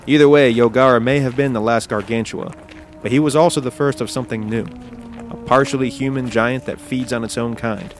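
An actor playing a thoughtful, fascinated scientist in an audio drama, speaking in a measured, portentous way. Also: male voice, medium pitch, fairly even intonation, soft background music, natural voice. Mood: fascinated, ominous. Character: thoughtful male monster scientist, grave and fascinated.

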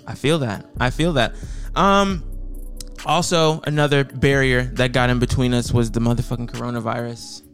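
A man's voice: medium pitch, moderate pace, a bit bright. A young man hosts a casual podcast in a mid-range voice, easygoing and hesitant, with plenty of fillers. Faint music plays under him. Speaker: podcast host. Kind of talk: casual chat.

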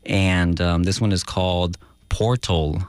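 A young man from Los Angeles, a guest musician talking casually in a radio studio interview, halting and full of fillers, slipping in Spanish words. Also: deep voice, fairly even intonation, no room sound. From Los Angeles, USA.

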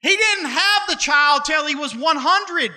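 Male pastor, 30s, folksy sermon delivery, very high and piercingly bright voice. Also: lively intonation. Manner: folksy and conversational, slips into dialect; preaching cadence with drawn-out emphasis.

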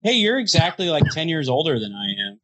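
A man's voice: on the high side, sing-song, fast talker, a bit bright, rough recording. A man in his thirties, co-hosting a casual podcast and throwing in dry jokes. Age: 30s. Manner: joking, wisecracking. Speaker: podcast co-host. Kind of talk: casual chat.

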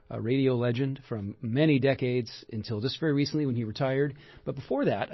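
Male radio host, enthusiastic, rambling, husky voice, dull lo-fi sound. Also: mid-range voice, dry recording. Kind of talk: radio talk interview.

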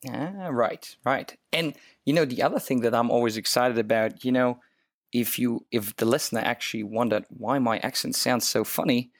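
A man from Luxembourg talks through tech features in an eager, casual way, full of "you know" and small restarts. His voice sits at a middle pitch. The recording is dry and close, with no room sound. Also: normal speed, no echo. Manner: enthusiastic, many fillers and restarts. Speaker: co-host, developer advocate. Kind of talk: casual tech podcast chat.

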